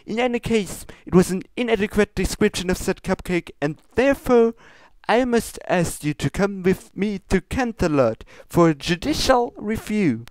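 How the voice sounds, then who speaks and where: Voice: medium pitch, animated, moderate pace, darkish tone, no room sound, pitched above the performer's own voice, lightly acted. A reader voicing a haughty, regal pony princess with a British accent and a formal, indignant manner in a comedy fan fiction reading. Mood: stern.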